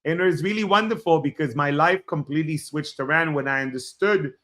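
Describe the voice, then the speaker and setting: A man's voice: on the high side, fairly bright tone. Reflective man in his forties. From Israel. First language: Hebrew. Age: 40s. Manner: reflective, halting starts with frequent fillers. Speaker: podcast guest. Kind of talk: personal storytelling in an interview.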